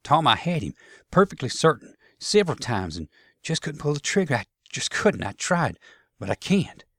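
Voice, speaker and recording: A man's voice: on the high side, big pitch swings, moderate pace, a bit bright, no room sound, studio quality, voice pushed up, heavily acted. Man acting an anguished, hesitant sharpshooter. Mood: troubled, pleading. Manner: hesitant, pleading, stammering. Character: anguished, ashamed young Confederate sharpshooter from Alabama.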